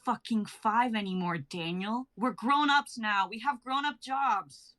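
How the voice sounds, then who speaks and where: A woman voicing a self-absorbed young female singer who swears a lot and swings from shouting to whispering, in an amateur dramatic reading. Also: on the high side, a little slow. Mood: angry. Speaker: actor in a live script reading.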